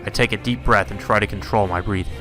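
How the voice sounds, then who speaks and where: A man's voice: fairly low pitch, steady tone, fast, music in the background. A man reading a visual novel aloud as narration, in the manner of a let's play host.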